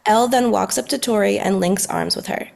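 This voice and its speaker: Woman reading out scene directions, lots of vocal fry, medium pitch. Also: fairly quick, a little mellow. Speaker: narrator. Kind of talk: scripted narration of stage directions.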